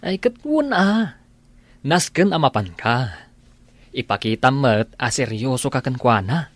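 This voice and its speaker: A man's voice: mid-range voice, sing-song, unhurried, no echo. A man playing a pushy, encouraging young friend in a radio drama, chummy, with an Ilocano accent. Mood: urging. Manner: urging, chummy.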